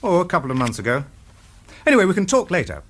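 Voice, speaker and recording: High-pitched, breezy, easygoing man. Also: huge pitch swings, quick pace, fairly bright tone, exaggerated voice. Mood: friendly, concerned. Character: easygoing, hospitable male friend of the hero. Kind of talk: radio drama acting.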